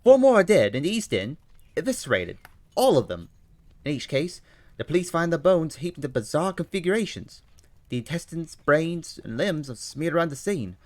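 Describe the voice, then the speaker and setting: A man voicing an excitable Victorian gentleman detective with an attempted London accent. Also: a little high, moderate pace, dry recording, pitched above the performer's own voice. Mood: excited. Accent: attempted London English.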